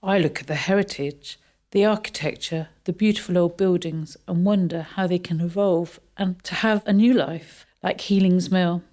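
A woman playing a thoughtful townswoman in a radio drama, rather resigned about how her home is changing. Her pitch is on the low side. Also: fairly even intonation, moderate pace, dark tone, close to an everyday voice. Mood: wistful, resigned. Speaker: community theatre actor. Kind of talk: radio play acting.

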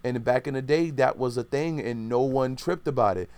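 A man's voice: medium pitch, moderate pace, a little mellow, smooth. A man in his thirties in casual podcast chat, rambling and opinionated, often correcting himself mid-sentence.

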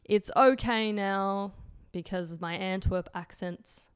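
A woman's voice: medium pitch, slow, clean tone, no echo, studio quality. A woman in her late twenties, a podcast guest who runs a healthy eating website, answering in a thoughtful way and restarting phrases as she goes. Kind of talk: casual podcast interview.

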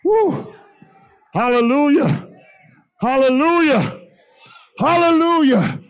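A man preaching as pastor, building into a shouting, half-sung whooping cadence and then dropping to a quieter storytelling tone. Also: expressive, slow pace. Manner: drops to low conversational storytelling. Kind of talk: sermon.

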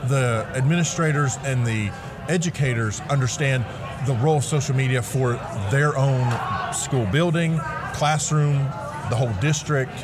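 A man co-hosting an interview, building long points in deliberate, halting phrases. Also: medium pitch, a crowd in the background. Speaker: podcast co-host. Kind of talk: conversational interview.

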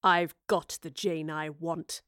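Storybook villain, male, played by a woman: smug, unhurried, mock-tender over cold menace, dry close sound. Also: lowish voice, fairly bright tone, clear, resonant tone, no echo. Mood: stony, smug. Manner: slow, mockingly sincere, unhurried.

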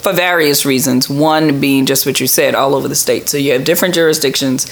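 A middle-aged woman answers interview questions as a government official. Her voice is markedly creaky. She explains things in a plain-spoken, conversational manner. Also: rumbling low, fairly even intonation, brisk, poor audio.

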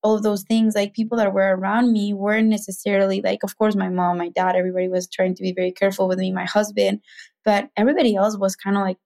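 A woman's voice: medium pitch, flat delivery, fast, creaky, a little mellow. A woman from Mexico City with a Mexican accent, a podcast guest talking in a chatty way full of "like" and "you know". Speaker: guest, business founder. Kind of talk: casual podcast conversation.

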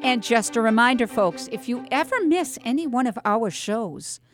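A middle-aged American woman hosting a talk radio show in a chatty, animated way with emphatic asides and rhetorical questions. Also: fairly high pitch, moderate pace, music in the background. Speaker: radio host.

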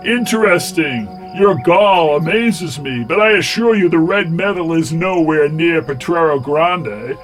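A man's voice: a little high, lively intonation, unhurried, few pauses, fairly bright tone, soft background music, poor audio. A man voicing a smug, cruel cult tyrant in an audiobook, speaking in a pompous, commanding and mockingly formal way. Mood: scornful. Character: menacing male cult tyrant, smugly cruel, imperious. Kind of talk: theatrical audiobook villain.

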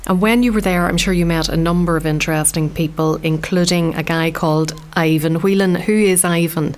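A woman's voice: lowish voice, steady tone, a little fast. An Irish woman hosting a radio food show, chatting with guests and reading links.

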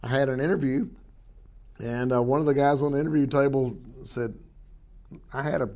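A man's voice: medium pitch, fairly even intonation, normal speed, darkish tone. A man, a guest telling stories about his life in a rambling way with many fillers. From southwestern Oklahoma. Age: 60s. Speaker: interview guest, wildlife biologist. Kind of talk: relaxed storytelling interview.

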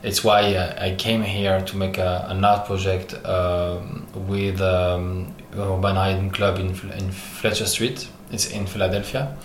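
A man from Algeria who grew up in France, a French speaker talking as an artist guest in an interview, pausing often and searching for English words. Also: low voice, level tone. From Algeria, raised in France. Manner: many pauses and restarts, searching for English words. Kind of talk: halting interview answers. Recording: echoey room with faint noise.

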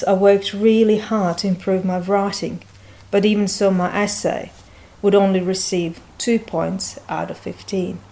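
A middle-aged woman from Bosnia, her first languages Serbian and Croatian, reading a prepared personal story in a measured way with occasional hesitations. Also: mid-range voice, warm tone. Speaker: senior lecturer.

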